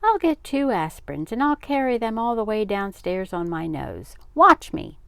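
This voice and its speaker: An eager little show-off of a boy, about ten, from a children's storybook, as a woman reader puts him on. The voice is lifted in pitch and cheeky, always pestering. The recording is dry. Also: lively intonation, moderate pace, no room sound, close to an everyday voice.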